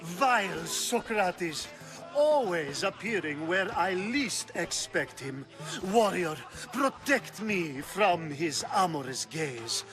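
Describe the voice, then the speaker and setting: A flamboyant male dandy from a video game, high-voiced and slow. He declaims in a florid, theatrical way, with music clearly playing behind him. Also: very animated, heavily acted. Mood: mock alarm. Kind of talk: video game cutscene acting.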